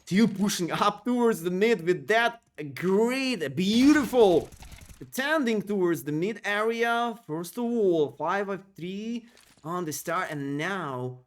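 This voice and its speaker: A man's voice: sits high, takes it slowly. A man casting an esports match solo on a live stream, speaking non-native English with slightly broken phrasing and chatting with viewers between plays. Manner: excitable play-by-play calls, chatty with viewers. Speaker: esports caster. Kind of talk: solo live game commentary and chat replies.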